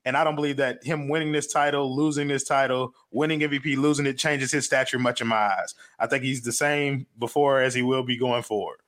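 Man, mid-range voice, measured sports opinions, casual panel talk. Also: medium pitch, steady tone, fairly bright tone.

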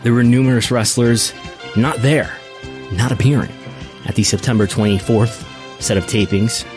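An American man hosting a podcast, reading through news in a deliberate, announcer-like way. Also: medium pitch, steady tone, a little mellow, faint music behind. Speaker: podcast host.

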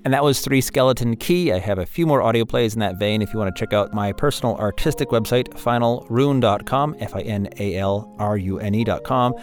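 A man's voice: fairly low pitch, a little fast, hardly any pauses, faint music behind. A man who hosts an audio drama podcast, presenting credits and announcements to listeners. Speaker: host and audio drama producer.

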